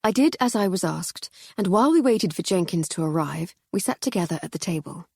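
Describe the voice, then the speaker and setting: Breathy, expressive woman narrating a novel. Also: lowish voice, a little fast, no room sound, studio quality. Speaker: audiobook narrator. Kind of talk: first-person novel narration.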